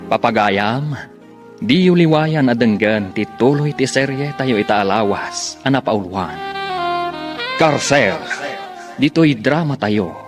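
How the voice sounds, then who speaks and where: A man narrates a radio drama serial as its announcer. His mid-pitched delivery is formal, scripted and measured, with music playing clearly behind him. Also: expressive.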